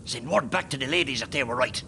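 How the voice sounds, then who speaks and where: An actor playing a grave guerrilla commander with deliberate, authoritative delivery in a radio play. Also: male voice, mid-range voice, creaky, bright, forceful tone, a little hiss or hum. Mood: commanding.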